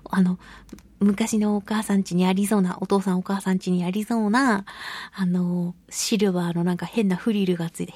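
A woman hosting a radio talk show on her own, chatting casually and telling stories in an animated, giggly, colloquial way. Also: medium pitch, husky, no echo. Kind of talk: casual solo radio chat.

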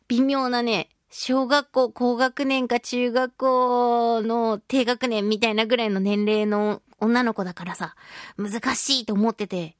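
A woman's voice: fairly high pitch, no room sound, studio quality. A woman hosting a radio talk show alone, chatting informally and thinking aloud in a giggly manner. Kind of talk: casual chatty radio monologue.

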